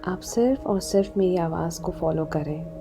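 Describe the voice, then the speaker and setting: A woman leading a guided meditation in a soothing, unhurried manner, leaving long pauses between short instructions. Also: on the low side, background noise. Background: background music.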